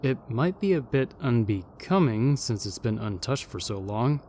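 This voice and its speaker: Level-headed old-fashioned male ghost acted by a man, middling pitch, formal matter-of-fact delivery, light background ambience. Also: big pitch swings, normal speed, warm tone, slight background noise, natural voice. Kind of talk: fan audio roleplay comedy acting.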